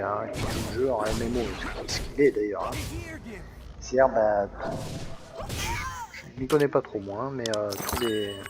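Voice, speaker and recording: A man's voice: mid-range voice, background noise. A man hosting a let's play, giving casual, rambling commentary full of hesitations and restarts as he plays.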